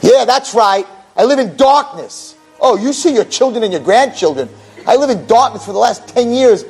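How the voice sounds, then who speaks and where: A miserable old blind man from a nursing home tale, acted out by a male storyteller. He complains loudly in a high, husky voice that swings up and down, full of bitterness and anger. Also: expressive, normal speed, soft background music, exaggerated voice, rough recording. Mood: bitter. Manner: grumpy, shouting complaints.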